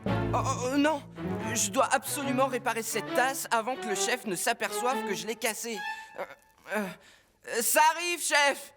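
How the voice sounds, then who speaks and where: A woman's voice: on the high side, a little slow, lightly acted. A woman doing a clumsy, jittery young fireman. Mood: panicky. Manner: nervous, fumbling, sheepish. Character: clumsy greedy young male trainee firefighter. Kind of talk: cartoon dub acting. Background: music.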